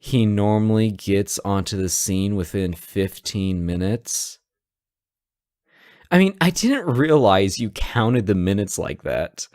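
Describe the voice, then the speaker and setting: A man's voice: lowish voice, expressive, slow pace, darkish tone, dry recording, studio quality. Guy acting as a sassy male hostage in a superhero audio roleplay, swoops around a lot, takes his time, teasing. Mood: hesitant, puzzled. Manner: teasing, theatrical, conversational. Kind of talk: scripted roleplay audio drama, one side of a conversation.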